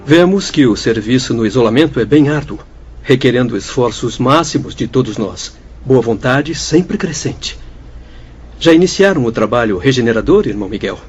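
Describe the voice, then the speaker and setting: An actor playing a courteous young doctor in a Brazilian radio drama, formal, polite and inquiring. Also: male voice, medium pitch, expressive, normal speed, soft background music, lightly acted. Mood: earnest, respectful. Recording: dull, faintly noisy track.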